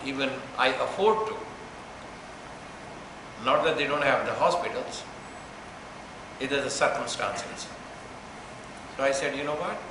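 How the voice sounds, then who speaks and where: Earnest man speaking in an echoey room. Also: medium pitch. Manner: halting, earnest, repeats and rephrases. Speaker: event organizer. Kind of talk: press conference speech. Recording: very echoey room with steady noise.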